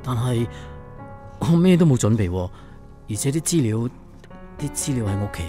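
An actor as a mild working father with a soft mellow voice that moves up and down a lot. He speaks hesitantly, in radio-drama style, over faint music. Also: medium pitch, animated, normal speed, close to an everyday voice. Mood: torn, hesitant. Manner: hesitant, accommodating. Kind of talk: radio drama acting, casual dialogue. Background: background music.

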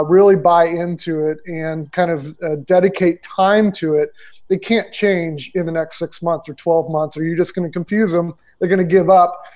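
A man in his forties speaks through earbuds on a video call from a small room. His voice sits a little high for a man. He answers in a loose, conversational way, full of fillers and restarts. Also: darkish tone. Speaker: ministry consultant. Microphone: earbud headset on video call.

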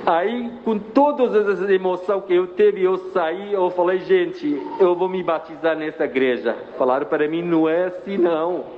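A middle-aged man from Iraq, giving an earnest personal religious testimony in halting, phrasing. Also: high-pitched. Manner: earnest, halting non-native Portuguese. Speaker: church member giving testimony. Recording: dull, reverberant with faint noise.

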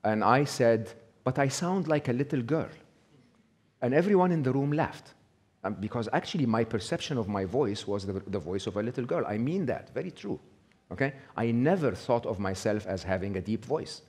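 A man's voice: medium pitch, animated, moderate pace, darkish tone, breathy edge. A middle-aged man giving a live talk, conversational, checking in with the audience with a frequent "okay?". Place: small indoor venue with brick wall.

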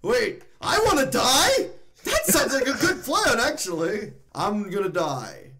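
A woman's voice: a little high, big pitch swings, unhurried, a crowd in the background. A woman voicing a frail, gloomy old father with rambling, hesitant complaints in an improvised cartoon fandub.

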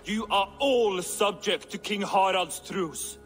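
An actor playing a stern Norse warrior on guard in a video game, calling warnings in a reverberant hall. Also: male voice, high-pitched, slow. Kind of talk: video game cutscene acting. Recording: reverberant hall, music behind.

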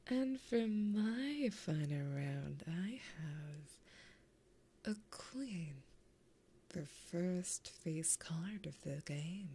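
A woman's voice: lowish voice, animated, dark tone, heavily acted. A woman voicing a flirty, possessive female demon gambler in a whispered, teasing ASMR roleplay, close to a binaural microphone. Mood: smug, possessive. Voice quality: breathy, whispered. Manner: slow, teasing, intimate.

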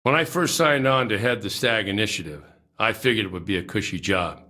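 An actor playing a hardened, self-assured military commander giving a stern, formal audio log in a video game. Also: male voice, on the low side, a little fast, bright, forceful tone.

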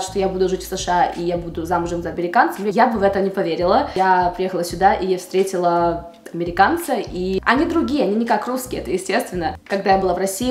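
A woman in her twenties from the Russian Far East, a beauty vlogger chatting casually to camera in a room at home. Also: mid-range voice. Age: 20s. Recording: roomy indoor echo.

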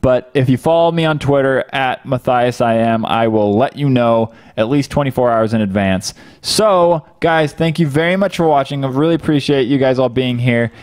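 A man's voice: medium pitch, moderate pace, few pauses, darkish tone, clear, resonant tone. A man, chatting casually with viewers as a livestream host into a close desk microphone. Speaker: live stream host. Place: home office room, indoors. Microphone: close desk microphone on boom arm.

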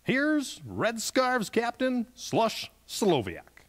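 A man's voice: very high voice, huge pitch swings, extremely slow, fairly bright tone, heavily acted. A man playing a grandly earnest puppet sports reporter, with dramatic announcer phrasing in a mock newscast. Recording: long hall reverb, music behind.

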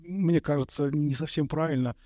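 Soft-spoken monk, slow and thoughtful. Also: male voice, a little high, no echo, studio quality. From Kazakhstan. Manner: slow and thoughtful, many pauses and hesitations. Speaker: Orthodox monk and priest. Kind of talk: calm reflective interview answers. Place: bright indoor room, interview set. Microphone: studio mic on a boom in front of him.

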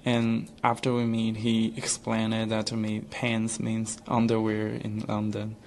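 A young man, an international student, telling a story on student radio with many pauses and hesitations. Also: on the low side, completely flat delivery, a little hiss or hum. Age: young adult. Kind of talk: casual student radio chat.

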